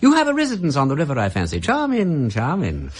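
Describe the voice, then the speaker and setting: Radio drama casting: wry, urbane English aristocrat, upper-class accent, flowery and pompous, hugely animated intonation. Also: male voice, fairly high pitch, quick pace, breathy edge, exaggerated voice. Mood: mock solicitous. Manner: pompous, flowery, drawling mock-courtesy. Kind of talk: British radio drama acting.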